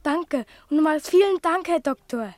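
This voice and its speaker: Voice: high-pitched, animated, normal speed, no room sound. A performer in a radio play playing an earnest, troubled schoolboy, polite and hesitant. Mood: shy, grateful.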